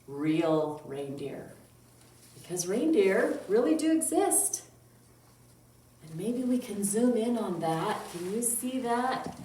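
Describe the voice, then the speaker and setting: A woman's voice: fairly low pitch, darkish tone. A woman hosting a storytime for young children, speaking in a playful sing-song way and asking simple questions. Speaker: children's library storytime host. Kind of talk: children's storytime talk, reciting poems and singing. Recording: roomy echo, distant mic, faint noise.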